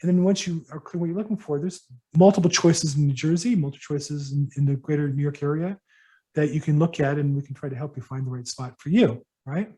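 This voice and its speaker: A middle-aged man with a mellow, somewhat high voice answers questions from a workshop audience. He speaks casually and haltingly, with frequent ums and restarts. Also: rough recording. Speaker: real estate broker hosting a workshop. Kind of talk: casual advice to attendees.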